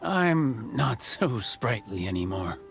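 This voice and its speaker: An old village craftsman in a video game. His voice is gravelly and swings in pitch as he grumbles in a hesitant, plainspoken way. Music plays underneath. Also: very animated, slow pace, full character voice. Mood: weary, troubled. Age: older. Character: aging village carpenter, grumbling, put-upon craftsman.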